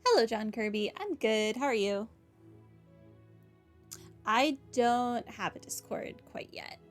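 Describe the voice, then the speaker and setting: A woman streams a game and chats casually with viewers. Her voice is fairly high and very lively in pitch. Music runs behind her. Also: takes it slowly. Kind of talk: casual livestream chat.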